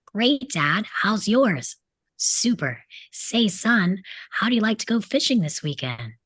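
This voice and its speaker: A woman's voice: medium pitch, big pitch swings, takes it slowly, a bit bright, slightly rough, no echo, heavily acted. Woman playing a bitter, mouthy teenage delinquent boy, dry sound. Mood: mock cheerful. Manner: sarcastic, aggressive, mimics parents in mock voices. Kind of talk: table read of a film script.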